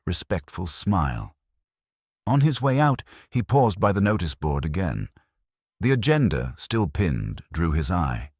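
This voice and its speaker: A man narrating a mystery story from a script in a measured, precise, literary manner. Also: sits low, slightly rough, no room sound, studio quality.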